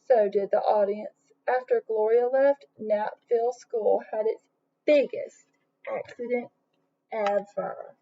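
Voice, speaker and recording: A woman reads a picture book aloud to children in a fairly high voice. She is expressive and breaks off for little questions and asides. The recording is slightly dull and lo-fi. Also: creaky. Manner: expressive, pauses to question young listeners. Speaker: read-aloud storyteller.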